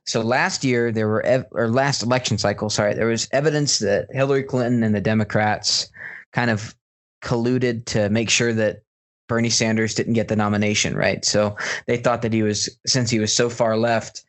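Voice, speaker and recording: A man's voice: fairly low pitch, fairly even intonation, normal speed. A man co-hosting a podcast, explaining things step by step in an informal, hedging way. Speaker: podcast co-host. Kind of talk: casual explanatory podcast chat.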